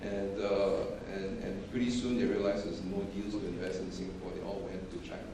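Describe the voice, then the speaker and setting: A man's voice: low-pitched, little pitch movement, brisk, background noise. A man speaking matter-of-factly as a panelist, with frequent restarts and fillers. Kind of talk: panel discussion. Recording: echoey hall, distant mic.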